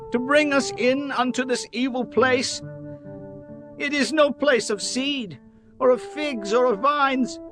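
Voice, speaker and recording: A man playing an aggrieved Israelite protesting bitterly in a dramatized scripture reading, in declamatory archaic phrasing. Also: extremely high pitch.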